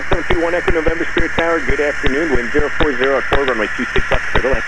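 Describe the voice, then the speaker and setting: A man working as an air traffic tower controller, giving clipped routine clearances over aviation radio. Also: fairly high pitch, fairly even intonation, very fast.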